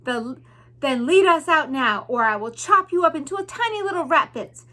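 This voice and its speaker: Storybook servant girl, young, stubborn and simple, rustic wording, whiny plaintive edge, highish forceful voice acted by a woman. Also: lively intonation, moderate pace. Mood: defiant. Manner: uneducated rustic speech, plaintive, blunt.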